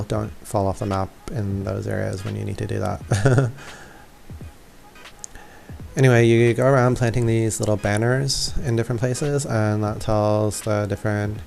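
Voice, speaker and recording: A man's voice: fairly low pitch, little pitch movement, normal speed, gravelly, a little mellow. A man giving a casual, informal gameplay walkthrough, thinking aloud hesitantly as he plays. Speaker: gaming video creator. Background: faint game music.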